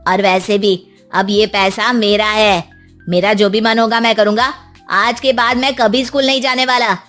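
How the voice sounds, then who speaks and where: A woman's voice: medium pitch, fairly even intonation, normal speed, a bit bright, soft background music, lightly acted. A woman voicing an insolent, lazy son in a cartoon story, speaking in a rude, defiant way.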